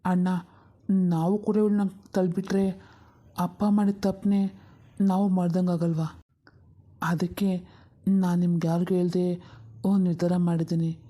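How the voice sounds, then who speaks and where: Woman voicing an earnest, mellow-toned pleading younger brother. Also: on the low side, level tone, unhurried, long pauses. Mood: earnest, pained. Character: earnest, compassionate younger brother pleading for his half-sister. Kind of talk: dramatic cartoon story dialogue.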